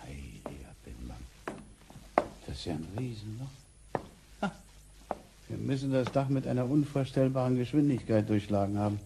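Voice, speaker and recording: An actor playing an excitable, polite grandfather in a science fiction radio play, flustered and apologetic. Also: medium pitch, normal speed, vocal fry, pauses often, noisy background. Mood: shocked. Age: older. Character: excitable, polite grandfather and stranded time traveler.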